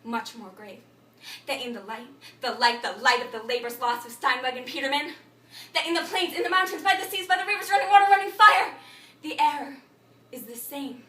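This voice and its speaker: A woman playing a frantic, babbling thinker in an absurdist stage monologue, incantatory and repetitive, swinging between muttering and shouting. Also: a little high, steady tone, normal speed. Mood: rambling, urgent, then anguished, incantatory. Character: frantic, babbling thinker reciting a broken learned tirade. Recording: echoey room, distant microphone.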